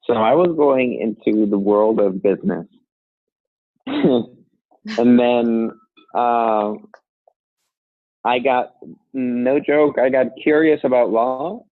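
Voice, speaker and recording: A man's voice: medium pitch, slow, no room sound. Man from Colombia, tinny and dry. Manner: relaxed, laughs lightly, restarts phrases. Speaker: guest, software engineer. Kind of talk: casual conversational interview. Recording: tinny phone-like sound, dry.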